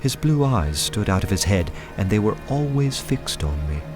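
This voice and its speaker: Detective narrator: low voice, measured and uneasy, first-person radio drama, clear music bed. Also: male voice, big pitch swings. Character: anxious undercover detective man narrating his dread.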